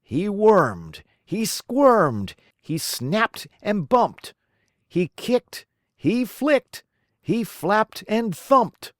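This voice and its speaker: A woman reading a children's rhyming storybook aloud in a theatrical, deliberate, rhythmic way. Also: medium pitch, big pitch swings, no echo, studio quality. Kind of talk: expressive children's storybook narration.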